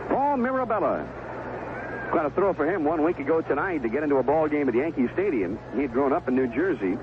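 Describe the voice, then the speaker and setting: An American man calling baseball play-by-play on the radio, in clipped phrases with unhurried pauses. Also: on the high side, very animated. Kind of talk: live baseball radio commentary. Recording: tinny, noisy 1970s AM radio broadcast.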